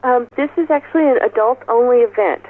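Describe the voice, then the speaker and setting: A woman's voice: on the high side, a little fast. A woman speaking as an event organizer in an informal interview, explaining details in an upbeat, enthusiastic way over a muffled telephone line. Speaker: festival organizer being interviewed.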